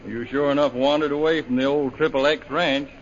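A man's voice: medium pitch, fairly even intonation, a little fast, muffled recording, natural voice. An actor playing a polite, slightly pompous male American vice consul with a Texas drawl, in a 1930s radio drama.

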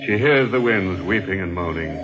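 An actor playing a macabre, sardonic horror host in 1940s radio style, ominous and drawn-out. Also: male voice, deep voice, animated. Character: macabre, tongue-in-cheek male horror show host. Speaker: host and narrator. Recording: dull vintage radio recording with organ music.

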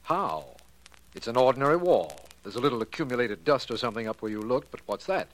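An actor playing a genial, old-fashioned, doubting district attorney in a 1940s radio drama, wordy and drily good-humored. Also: male voice, medium pitch, very animated, quick pace, lightly acted, rough recording. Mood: amused, doubting. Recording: vintage 1940s radio recording.

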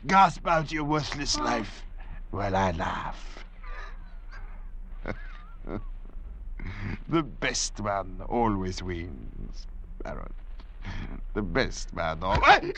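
An actor playing a cunning, controlling castaway doctor with measured, calculating, theatrical delivery in 1940s radio drama style. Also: male voice, lowish voice, sing-song, gravelly, sharp tone, heavily acted. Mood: gloating. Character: cunning, controlling male doctor adrift in a lifeboat. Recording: noisy vintage radio recording.

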